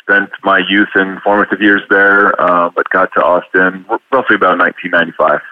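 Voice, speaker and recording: A middle-aged man from Arizona calling in to a show and telling a long story in an unhurried, rambling way. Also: deep voice, fairly even intonation, no room sound. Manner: rambling, unhurried, many ums. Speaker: caller. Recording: tinny phone line.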